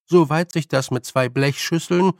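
A man voicing a brisk, bossy, good-humoured old woman witch with a loud, teasing and scolding manner, in an audiobook. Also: a little high, no echo, studio quality, close to an everyday voice. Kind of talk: audiobook character voice.

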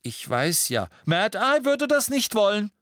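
A man's voice: sits high, very animated, measured pace, a bit bright, dry recording, clean studio sound, voice pushed up, exaggerated voice. A man voicing a cheeky, joking young man in an audiobook.

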